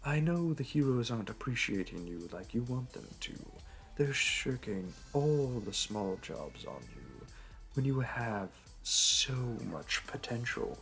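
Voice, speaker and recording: A man's voice: mid-range voice, big pitch swings, unhurried, gravelly, fairly bright tone, faint music behind, heavily acted. A man playing a suave, flirtatious supervillain in a roleplay audio drama, smug, teasing and theatrical. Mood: persuasive. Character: smug, flirtatious male supervillain courting a hero.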